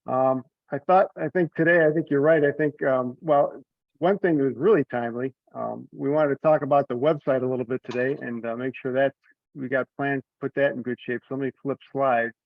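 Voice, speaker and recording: A man's voice: medium pitch, steady tone, a little fast, gravelly, no room sound. A man informally presenting slides in an online meeting, thinking aloud in a hesitant way. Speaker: telecon presenter walking through slides. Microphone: online conference call. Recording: narrow tinny conference-call line.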